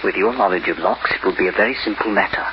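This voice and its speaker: A man's voice: lowish voice, huge pitch swings, fast talker, creaky, heavily acted. A man playing a scheming, insistent bank embezzler in an old-time radio noir style. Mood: urgent, persuasive. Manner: insistent, persuasive. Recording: noisy, muffled old radio recording.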